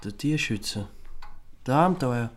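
An actor playing a wary, reluctant visitor with an Austrian accent, guarded, dry and hesitant in a radio drama. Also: male voice, medium pitch, lively intonation, a little slow. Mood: puzzled, dry. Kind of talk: German-language radio drama acting.

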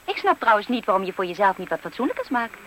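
Bright, bossy, scheming young maid. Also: female voice, medium pitch, big pitch swings. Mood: urgent, bossy. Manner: brisk, commanding, theatrical. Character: bossy, scheming young maidservant from a fairy tale. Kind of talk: 1970s Dutch radio drama acting. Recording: vintage radio recording with background noise.

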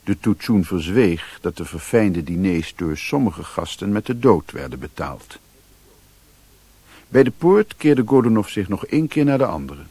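A man's voice: low-pitched, big pitch swings, normal speed, warm tone, breathy edge, no echo. A man narrating a radio play from a script, as its storyteller.

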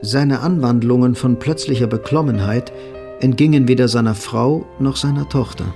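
An actor playing a sober literary narrator in a radio drama, reading scripted third-person prose with a creaky voice. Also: male voice, medium pitch, moderate pace, over music, close to an everyday voice. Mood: sober, reflective.

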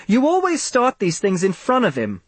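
A man's voice: medium pitch, very animated, moderate pace, dry recording. A man voicing a domineering, snappish wife and mother in an audiobook, insistent and nagging. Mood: accusing.

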